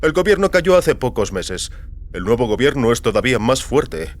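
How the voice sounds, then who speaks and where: Man playing a brisk, genial older boss, lifted voice. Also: medium pitch, sing-song, slight background noise, voice pushed up. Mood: brisk, informative. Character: older businessman, brisk, genial employer in science fiction. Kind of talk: dramatized audio fiction.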